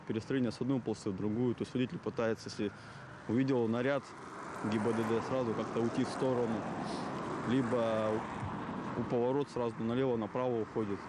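A traffic police inspector answering interview questions outdoors at night, halting and hesitant. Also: male voice, medium pitch. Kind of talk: on-street interview. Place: outdoors on a city street at night. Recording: street traffic noise behind.

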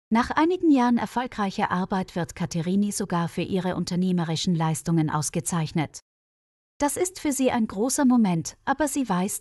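A woman reading a scripted story as a narrator for language learners, in a measured read-aloud manner. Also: medium pitch, darkish tone, dry recording, studio quality.

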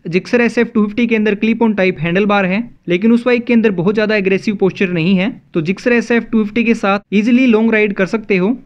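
An Indian man narrating a scripted video as a motovlog host, addressing viewers as friends in a dull, slightly lo-fi voice-over. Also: sits high.

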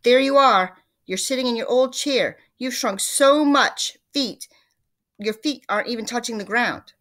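A woman voicing a nasty trickster husband from a children's storybook, taunting loudly and emphatically with gleeful menace and mock concern. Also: medium pitch, moderate pace, lightly acted. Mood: gleeful shouting. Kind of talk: acted children's storybook reading.

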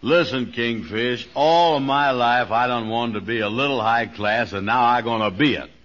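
A man's voice: mid-range voice, normal speed, fairly bright tone, lightly acted. An actor playing a boastful, naive, self-important man with folksy, ungrammatical delivery in a vintage radio comedy. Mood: stubborn. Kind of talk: 1940s radio comedy acting. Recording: dull vintage radio recording.

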